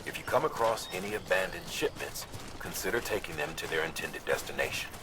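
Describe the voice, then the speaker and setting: A man playing a steady, encouraging logistics handler who gives measured, instructive briefings to a courier in a video game. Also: low-pitched, heavy background noise. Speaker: mission dispatcher.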